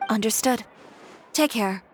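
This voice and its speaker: A woman's voice: fairly high pitch, a little slow, slight background noise, lightly acted. A woman voicing a proud, self-possessed female fashion designer in a video game, cool, curt and assertive.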